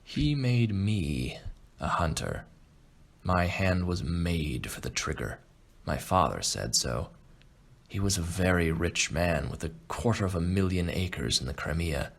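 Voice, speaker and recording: A man playing a refined, boastful aging Russian aristocrat and hunter in an audiobook, speaking in a measured, pompous way. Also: sits low, animated, exaggerated voice. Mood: boastful, urbane. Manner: pompous, measured, self-satisfied. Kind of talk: audiobook character acting.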